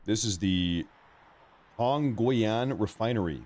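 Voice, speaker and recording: A man with a slightly low-pitched voice hosts a live news stream. His delivery is informal and reactive, like someone chatting casually as he follows events. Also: slow, darkish tone.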